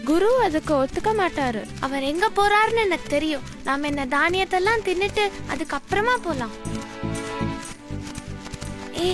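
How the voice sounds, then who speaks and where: Someone doing an eager little cartoon bird kid, high voice, sure of itself, loud music behind. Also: expressive, normal speed, long pauses. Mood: excited, confident. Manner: childlike, eager, confident. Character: eager young bird pupil, leader among its friends. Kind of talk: animated children's story dialogue.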